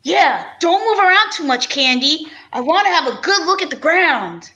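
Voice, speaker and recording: Woman doing a weary cop inspector guy, high pitched, slow and very lively up and down, radio drama. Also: highs cut off, heavily acted. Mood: businesslike. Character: protective, weary male police homicide inspector. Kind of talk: amateur old-time radio detective drama.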